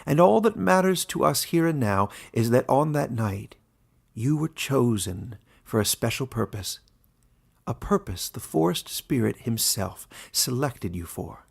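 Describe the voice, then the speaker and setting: Old male warden, acted by a male narrator, heavy vocal fry, husky, formal, kindly, playful, storybook fantasy elder. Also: mid-range voice, animated, full character voice. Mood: grave, measured. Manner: measured, formal, ceremonious.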